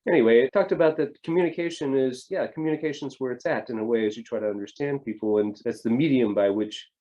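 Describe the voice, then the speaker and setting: A man's voice: mid-range voice, quick pace, mellow, highs cut off. A middle-aged man talking reflectively in an interview, with hesitant restarts and rambling thoughts. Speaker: family therapist, guest.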